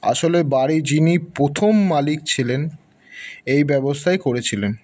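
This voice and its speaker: A man's voice: on the high side, unhurried, darkish tone. An actor playing a deferential middle-aged male caretaker of an old mansion in an audio drama. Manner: deferential, polite, calls others sir.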